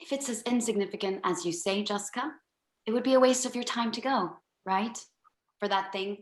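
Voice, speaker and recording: A woman's voice: medium pitch, a little fast, lightly acted. A woman playing a brash, self-absorbed company boss in a comedic table read, breezy, bossy and profane. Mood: flippant, patronizing. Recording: roomy home video-call audio.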